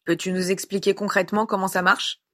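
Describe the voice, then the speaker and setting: A woman's voice: medium pitch, little pitch movement, fairly quick, fairly bright tone, lightly acted. A woman voicing a formal male commander questioning trainees in a read-aloud story.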